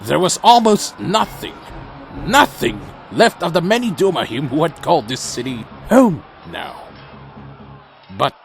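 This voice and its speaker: A man plays a defiant vampire warrior of an audio drama, gravelly and high-strung in a raised voice. He delivers his tale slowly and gravely, with theatrical weight, over music. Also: big pitch swings, heavily acted. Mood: grave narration. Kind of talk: dramatic first-person narration and acted dialogue.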